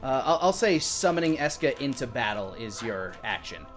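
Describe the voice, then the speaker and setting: A man's voice: mid-range voice, big pitch swings, normal speed, fairly bright tone. A man in his thirties running a live tabletop game as game master, improvising narration of the action. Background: music.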